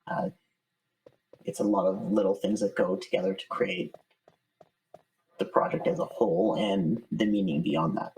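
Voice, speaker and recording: Low, gravelly man chatting thoughtfully. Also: very animated, moderate pace, long pauses, darkish tone. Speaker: interview guest, voice actor. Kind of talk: casual interview chat. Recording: slightly distant microphone.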